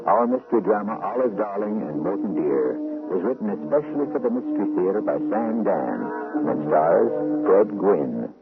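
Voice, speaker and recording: Theatrical radio show host, low voice that swoops a lot, tinny old broadcast. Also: male voice, sing-song, normal speed, loud music behind the voice. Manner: theatrical and musing. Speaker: host and narrator. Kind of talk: radio drama host narration.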